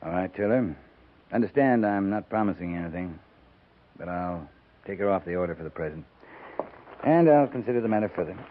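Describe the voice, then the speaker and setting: Low-voiced, measured American cavalry captain. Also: male voice, lively intonation. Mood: firm but considerate. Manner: deliberate, terse, thoughtful pauses. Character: measured, authoritative but compassionate cavalry captain. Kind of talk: 1950s radio drama acting. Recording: tinny narrow-band 1950s radio recording.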